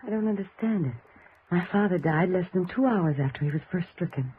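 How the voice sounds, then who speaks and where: A woman's voice: lowish voice, animated, a little fast, lightly acted. Bitter grieving daughter, played by a woman, tinny recording. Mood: strained. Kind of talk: 1950s radio drama acting. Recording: tinny, noisy vintage radio recording.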